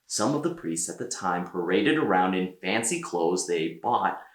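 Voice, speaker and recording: A man reading a children's story aloud as an expressive storyteller, with dramatic pauses. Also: low voice, roomy sound. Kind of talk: animated children's read-aloud narration.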